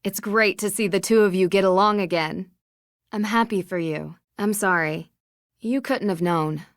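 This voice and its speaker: A woman plays a young female detective in a video game, level-headed and earnest, with a natural mid-pitched voice. She speaks politely and plainly, recorded very close and dry. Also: moderate pace, no room sound, natural voice. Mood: kind, encouraging.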